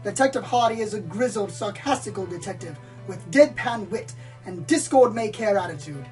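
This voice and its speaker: A woman's voice: mid-range voice, lively intonation, normal speed, a little mellow, recorded from a distance, soft background music, natural voice. A woman playing a pompous, dramatic male pony storyteller who loves noir detectives, florid and self-important, in a comedic radio play. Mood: earnest, grand. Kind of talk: comedic radio play, hardboiled narration parody.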